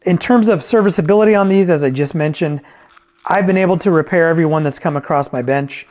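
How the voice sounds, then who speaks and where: A man's voice: fairly high pitch, quick pace, darkish tone. A man giving a casual, off-the-cuff product review as a reviewer and repairer, conversational and thinking aloud as he goes.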